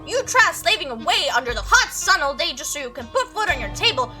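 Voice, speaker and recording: A woman's voice: high voice, very animated, brisk, pushed and bright, soft background music, exaggerated voice. A woman voicing a stubborn old male country farmer with a put-on Southern accent and a folksy, scolding manner. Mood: aggrieved.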